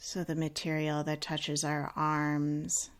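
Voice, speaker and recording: A woman leading a guided meditation in a calm, unhurried, gently instructive way with long pauses. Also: sits low.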